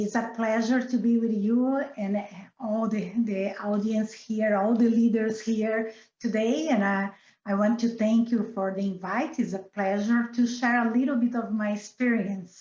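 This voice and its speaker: Middle-aged woman, Brazilian accent, higher voice, earnest, hesitant restarts, roomy muffled call audio. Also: steady tone, a little slow, mellow. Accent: Brazilian Portuguese. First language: Portuguese. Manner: frequent fillers and restarts, earnest. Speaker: guest. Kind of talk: casual interview.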